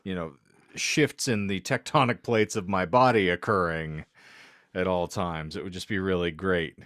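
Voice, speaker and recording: A man's voice: deep voice, normal speed, fairly bright tone, no echo, clean studio sound. A man hosting a podcast, chatting comedically in a wordy, self-deprecating way. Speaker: podcast host. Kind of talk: casual comedic chat.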